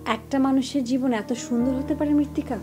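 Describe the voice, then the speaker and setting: An actress playing an attentive, wistful woman in a TV drama, speaking in a measured way with a Bangladeshi accent. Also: high voice, fairly even intonation, natural voice. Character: attentive, wistful female listener admiring another's romance. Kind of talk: TV drama dialogue. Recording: music bed under the voice.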